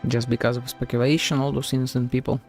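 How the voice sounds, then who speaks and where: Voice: mid-range voice, level tone, fast, darkish tone. A game streamer giving casual live reaction commentary, chatty, blunt and sweary. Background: game music.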